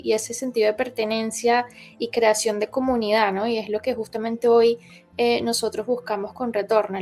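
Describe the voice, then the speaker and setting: A woman's voice: a little high, darkish tone. Hesitant young woman recalling her past. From Colombia, raised in Venezuela. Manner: hesitant, many fillers and restarts. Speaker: interviewee, founder. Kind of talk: interview testimony.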